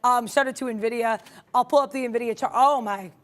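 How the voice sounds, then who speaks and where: A woman's voice: on the high side, fairly quick. A young woman co-hosting a live trading stream, commenting on stock charts in a casual, playful way and joking with her co-host. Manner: playful, laughs often, makes puns.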